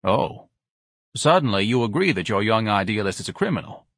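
A man's voice: lowish voice, sing-song, fairly quick, fairly bright tone, no echo, full character voice. A man voicing an irritable, sarcastic businessman husband in an audiobook, dry and dismissive.

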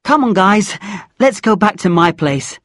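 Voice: mid-range voice, lively intonation, a little slow, fairly bright tone, no room sound, clean studio sound. A narrator voicing a friendly, take-charge teenage schoolboy in an audiobook story. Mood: rallying, confiding.

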